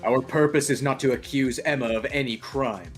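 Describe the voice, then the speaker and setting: A man performs a grave man of the law from a courtroom video game. His delivery is formal and measured, at a middling pitch. Music sits under the fan-dub voice. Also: natural voice. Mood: grave, solemn.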